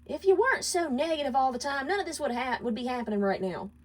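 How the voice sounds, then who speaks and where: A woman plays a scolding imp wife in a storybook drama. She is quick-tempered, defensive and dismissive, her voice raised high and snappy. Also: expressive. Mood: angry, dismissive. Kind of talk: dramatic storybook character reading.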